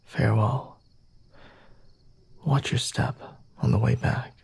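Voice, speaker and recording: A husky, breathy male vampire played by a man in an intimate ASMR roleplay, murmuring in half-whispers with a gravelly fry. He is self-assured and teasing, condescending yet merciful. His delivery is unhurried and softly mellow, with formal, deliberate wording. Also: medium pitch, steady tone, no room sound, heavily acted. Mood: condescending concern. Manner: slow, deliberate, formal wording with sighs and hums.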